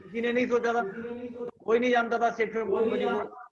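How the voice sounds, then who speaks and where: A man with a high voice leads a motivational training over a video call, leaning on repetition and asking the audience questions. The sound is muffled and dull, like online call audio. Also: flat delivery, normal speed, slight background noise. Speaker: business trainer.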